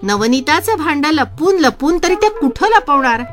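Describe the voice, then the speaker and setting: A woman from reads a scripted story in an expressive, dramatized way. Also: high voice, moderate pace, over music. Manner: expressive, enacts characters' speech. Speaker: narrator reading a devotional story.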